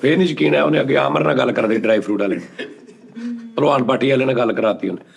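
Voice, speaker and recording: A man telling funny stories in a casual chat, animated and quick to act out quotes. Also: gravelly, crowd noise. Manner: animated, jumps into high-pitched acted quotes. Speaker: storyteller guest.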